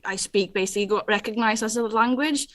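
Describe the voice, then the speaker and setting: A woman's voice: mid-range voice, brisk, dry recording, studio quality. Woman, hesitant, with many ums. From Netherlands. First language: Dutch. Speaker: award winner, digital skills trainer. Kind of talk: onstage interview.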